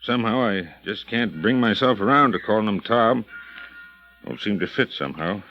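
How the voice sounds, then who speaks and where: An actor playing a plainspoken, homely pier worker in a 1950s radio drama. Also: male voice, fairly low pitch, lively intonation, moderate pace. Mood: somber. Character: folksy middle-aged pier worker man. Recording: dull old radio recording with music.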